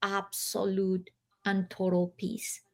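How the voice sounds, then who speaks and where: Slow-speaking woman, reflective. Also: medium pitch, completely flat delivery, creaky. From Colombia. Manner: slow, reflective, with soft laughs and pauses. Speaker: guest. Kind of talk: personal storytelling in an interview.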